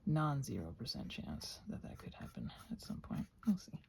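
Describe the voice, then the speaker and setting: A woman with a soft, breathy voice, often close to a whisper, musing aloud in a playful, whimsical ASMR ramble as she crafts. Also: rumbling low, huge pitch swings, normal speed, a little hiss or hum.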